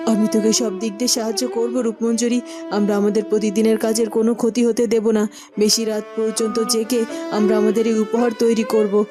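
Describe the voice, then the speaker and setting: A woman's voice: a little high, level tone, brisk, natural voice. A woman narrating and acting in a dramatic cartoon fairytale style. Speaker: narrator and character voice. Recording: music under the voice.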